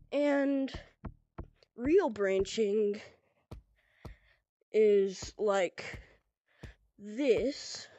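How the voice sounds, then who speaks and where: A woman's voice: on the high side, warm tone, no echo. A young woman with a stuffy nose from a cold, loosely explaining a VR game in a casual tutorial. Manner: loose and rambling, heavy on "pretty much" and "like". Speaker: VR gaming tutorial creator.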